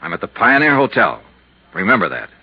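An actor playing a cool, shrewd insurance investigator in a 1950s radio drama, terse, measured and matter-of-fact. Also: male voice, fairly low pitch, very animated, gravelly, slightly rough, heavily acted. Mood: cool, persuasive. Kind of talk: 1950s radio drama, hard-boiled narration and dialogue. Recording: muffled 1950s radio recording.

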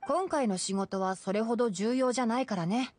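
A woman voicing a gentle, studious young male forest ranger in an anime video game, calm and instructive with a dry wit. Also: on the high side, a little fast, close to an everyday voice. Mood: matter-of-fact. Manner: calm, instructive, softly matter-of-fact.

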